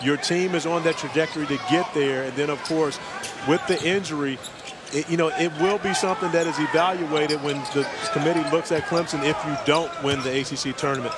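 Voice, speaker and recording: A man's voice: fairly high pitch, fairly even intonation, brisk. A man working as a sideline reporter, asking polished, conversational questions courtside. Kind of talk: broadcast sideline interview. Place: courtside at a basketball arena. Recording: loud arena crowd noise. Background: crowd and game noise.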